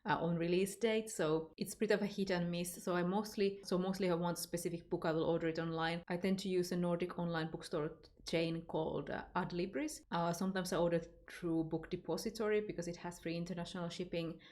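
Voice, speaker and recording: A woman's voice: on the low side, steady tone, brisk, hardly any pauses, a little mellow. Finnish woman chatting about reading. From Finland. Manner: chatty, unscripted, with fillers and restarts. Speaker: book vlogger. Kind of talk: casual tag video answering questions.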